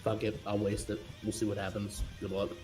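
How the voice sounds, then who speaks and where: A man's voice: on the low side, completely flat delivery, brisk, darkish tone. A man talking casually as a player in a tabletop role-playing game, informal and sweary. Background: guitar music.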